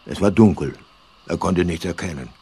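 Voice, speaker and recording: A man narrating a comic science-fiction radio play in a measured, dryly ironic, literary manner. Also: sits low, gravelly, mellow, husky.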